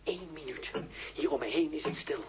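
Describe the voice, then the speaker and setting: A man's voice: on the high side, normal speed, natural voice. An actor playing a male radio reporter solemnly narrating a rocket launch in a 1960s radio drama. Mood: tense, hushed. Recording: dull, noisy old radio recording.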